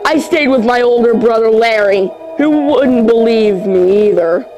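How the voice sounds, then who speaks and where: An amateur narrator reading a horror story aloud in a halting way, tripping over words and repeating phrases. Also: medium pitch, darkish tone, rough recording. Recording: music under the voice. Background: music.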